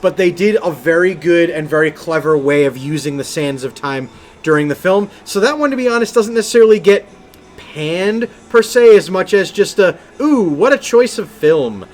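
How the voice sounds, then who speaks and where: A man co-hosting a podcast, telling things casually in an emphatic, animated way. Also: high-pitched, moderate pace. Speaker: podcast co-host. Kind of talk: casual film and game discussion.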